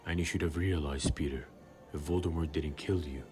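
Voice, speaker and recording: A man's voice: very deep voice, steady tone, moderate pace, darkish tone, soft background music. A man playing a vengeful, bitter wizard wronged by a traitor in an audio drama. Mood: outraged, bitter.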